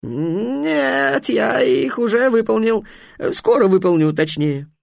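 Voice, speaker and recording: A boastful young genie, all sly wheedling and high squeals, his pitch leaping up and down, as a male narrator puts him on. He is slippery and proud, always hunting for a loophole. The recording is dry and close. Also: lively intonation, takes it slowly, vocal fry, no echo, exaggerated voice. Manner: wheedling, tricky, squealing when scared.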